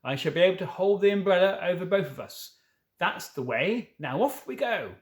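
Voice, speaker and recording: A man's voice: high-pitched, animated, moderate pace, voice pushed up. A man voicing a friendly, melancholy faun from a children's storybook, speaking in courteous, old-fashioned formal phrases. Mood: eager, hospitable. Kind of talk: children's storybook read aloud.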